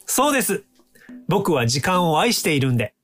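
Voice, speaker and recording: An actor in an audio drama playing an earnest, polite young time-traveling inventor who explains things courteously. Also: male voice, on the high side, sing-song, moderate pace, fairly bright tone, faint music behind. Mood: impassioned, earnest.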